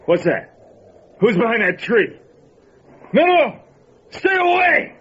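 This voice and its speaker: A man's voice: very high voice, sing-song, slow pace, a bit bright, breathy edge, full character voice. An actor playing a cold, cocky hitman who talks tough gangster slang in an old-time radio thriller, menacing and sneering. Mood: terrified. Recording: dull, noisy lo-fi radio recording.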